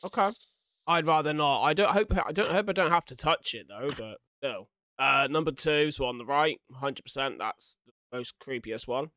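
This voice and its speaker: A man's voice: on the high side, animated, moderate pace, sharp tone, no echo. A young man giving casual, jokey reaction commentary with exclamations of dread, close to a desktop microphone. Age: young adult. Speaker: video creator reacting to horror. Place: small room at home. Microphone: large desktop condenser microphone up close.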